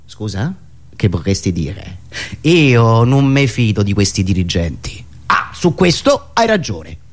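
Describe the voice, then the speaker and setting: A man's voice: fairly low pitch, very animated, measured pace, voice pushed down. Man putting on a stubborn, swooping Roman party militant. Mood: suspicious. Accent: Roman Italian. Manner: colloquial, defensive, dogmatic.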